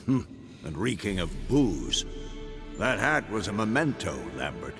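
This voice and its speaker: An actor playing a stern, thoughtful old monster hunter in a video game, gruff and measured. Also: male voice, on the low side, big pitch swings, music in the background. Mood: disapproving. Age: older.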